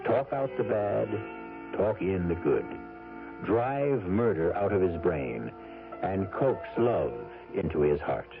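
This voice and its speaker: Low, theatrical male radio host. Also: lively intonation, vocal fry. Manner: theatrical, measured oratory. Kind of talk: 1970s radio mystery narration. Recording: muffled old radio broadcast, music behind.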